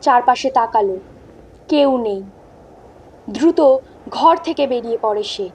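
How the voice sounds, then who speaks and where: High-pitched woman narrating horror suspensefully. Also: expressive, measured pace, a little mellow, slightly rough. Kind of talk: dramatic scripted narration.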